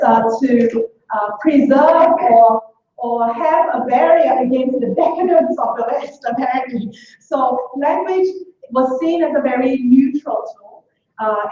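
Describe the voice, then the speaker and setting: A woman's voice: high voice, mellow. A woman from Singapore, giving a conference talk as an education researcher, hesitant with many fillers and restarts. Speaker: education researcher presenting at a workshop. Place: lecture room. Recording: echoey hall from far off, dull sound.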